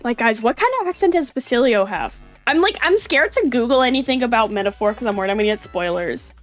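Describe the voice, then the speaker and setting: A woman with a fairly high voice talks casually to her livestream. She is playful and swears easily, slipping into mimicry as she goes. Light music plays behind her. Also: animated, quick pace. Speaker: livestreamer reacting to videos.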